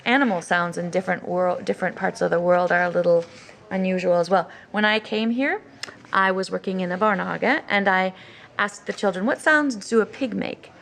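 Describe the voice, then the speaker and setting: A woman's voice: fairly low pitch, moderate pace, gravelly, fairly bright tone. A woman with an American accent giving an informal, explanatory talk as a teacher, presenting and reading children's books for English learners. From United States. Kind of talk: educational talk with read-aloud of picture books.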